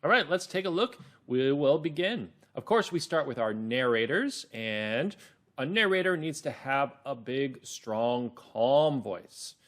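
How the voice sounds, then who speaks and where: Animated man teaching kids to read. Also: medium pitch, unhurried. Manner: expressive, simple explanations for young children. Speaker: teacher leading children's reading lesson. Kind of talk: read-along lesson for children.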